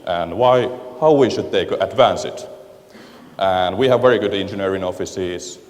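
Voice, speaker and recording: A man with a Finnish accent gives a conference talk into a handheld microphone, his voice sitting very low. He pauses often to search for words in a language that is not his own. The sound rings with the long reverb of a big lecture hall. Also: darkish tone, slight background noise. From Finland. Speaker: guest speaker at a conference. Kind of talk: conference presentation.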